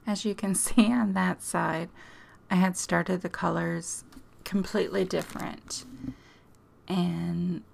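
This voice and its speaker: Hoarse gravelly lady walking you thru her craft project, slow and kinda low, chatty. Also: steady tone, darkish tone, a little hiss or hum. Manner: chatty, self-deprecating, laughs often. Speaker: craft tutorial host. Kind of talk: casual craft tutorial narration.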